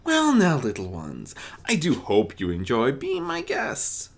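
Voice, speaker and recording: A man's voice: mid-range voice, huge pitch swings, vocal fry, pitched above the performer's own voice, full character voice. A man voicing a playful male chaos spirit, a theatrical trickster who teases and draws out his phrases. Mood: mock-reassuring. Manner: theatrical, teasing, drawn-out phrasing.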